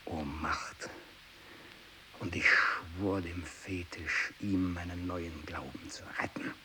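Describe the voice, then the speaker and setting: An actor playing a tormented, fanatical renegade missionary who worships evil, in a feverish radio drama monologue from the 1960s. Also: male voice, steady tone, slow pace, exaggerated voice. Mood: hushed, bitter fervour. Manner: feverish, swinging from hushed whispering to shrill ecstatic cries. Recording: hissy 1960s radio recording.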